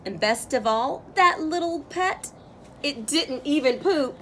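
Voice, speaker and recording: A woman reading a picture book aloud as a storyteller, with expressive, drawn-out phrasing for young listeners. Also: high voice, slight background noise. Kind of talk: expressive children's read-aloud narration.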